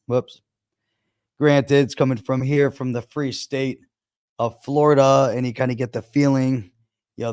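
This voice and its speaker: Gravelly man chatting over election results. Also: medium pitch, darkish tone, no echo, studio quality. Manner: chatty, opinionated, thinking aloud over results. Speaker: crypto show host. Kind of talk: casual live-stream chat. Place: home in Florida.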